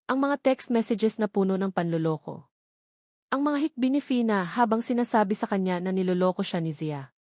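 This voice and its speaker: A woman's voice: medium pitch, expressive, moderate pace, darkish tone, no echo, studio quality. A woman with a Filipino accent narrating a dramatic scripted story as an audiobook.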